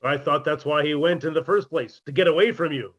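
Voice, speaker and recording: A man's voice: fairly high pitch, a little fast, voice pushed down, natural voice. A man playing a sardonic, heckling boarder who makes dry wisecracks in a radio comedy. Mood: sardonic, needling. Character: sardonic male wisecracking boarder called Maestro. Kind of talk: 1950s radio comedy acting.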